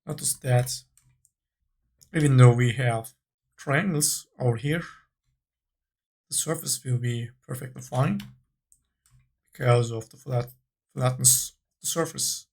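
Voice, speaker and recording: Slow, husky man narrating a tutorial. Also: medium pitch, a little mellow. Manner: halting, thinking aloud in short phrases. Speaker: 3D modeling tutorial instructor. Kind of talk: unscripted software tutorial narration.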